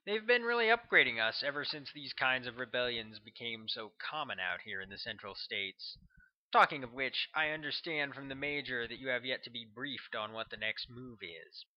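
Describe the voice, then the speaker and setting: A brisk, offhand government agent, voiced by a male audiobook reader, explains matters in a casual, practical way. He has a mid-range male voice. The sound is dull and lo-fi. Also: medium pitch, expressive, natural voice. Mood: explaining, brisk.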